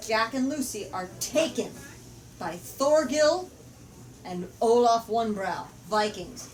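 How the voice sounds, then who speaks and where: A woman's voice: on the high side, expressive, slow pace. Woman storyteller, distant from the mic. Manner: emphatic, explanatory, asks questions. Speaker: book talk leader reading aloud. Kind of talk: storytelling and literature discussion. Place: bookstore. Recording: distant mic in noisy room.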